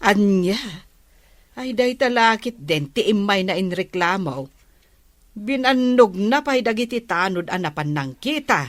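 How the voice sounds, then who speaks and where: A woman's voice: medium pitch, lively intonation, unhurried, no echo, close to an everyday voice. A woman acting an inquisitive, matter-of-fact village woman in a comedy radio drama, talking conversationally.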